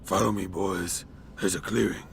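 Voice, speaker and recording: A man playing a gruff, eccentric old homeless man in a comic radio play. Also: low-pitched, fairly even intonation, normal speed, slight background noise. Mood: folksy, rambling. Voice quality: creaky, coughing. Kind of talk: comic radio theatre acting.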